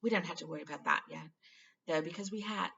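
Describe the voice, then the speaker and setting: A woman voices a studious young girl in a storybook tale. She is eager, fussy and earnest, with a bright edge and some creak, on a slightly dull recording. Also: on the low side, fast, a little hiss or hum. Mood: excited, studious.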